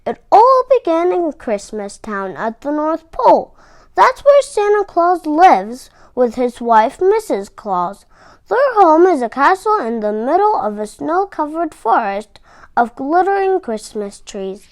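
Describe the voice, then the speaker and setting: A woman reading a children's story aloud with expressive, deliberate delivery and dramatic emphasis. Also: high voice, no room sound.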